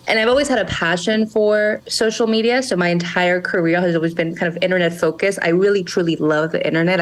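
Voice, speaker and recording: A young woman with a somewhat low voice speaks as a guest on a podcast. She is earnest and reflective, often stopping to restart her sentences and filling pauses with "um" and "you know.". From Monterrey, Mexico. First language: Spanish. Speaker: guest, brand founder. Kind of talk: casual podcast interview.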